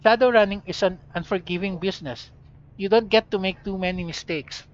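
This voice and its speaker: A man's voice: high-pitched, brisk, close to an everyday voice. A man reading the lines of a blunt, reckless woman mercenary in a dry, matter-of-fact way. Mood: offhand. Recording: dull lo-fi recording.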